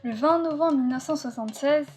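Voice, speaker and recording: A fairly high woman's voice tells a family's story in short dramatic lines. Faint music plays behind the narration. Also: a little fast, lightly acted. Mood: narrating.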